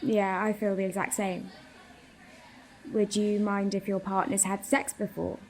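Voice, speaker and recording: Woman playing young date, curious, probing, giggly, unhurried speech, medium pitch, radio play. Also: level tone, a little mellow, a little hiss or hum, lightly acted. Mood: curious, awkward. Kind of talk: naturalistic radio play dialogue.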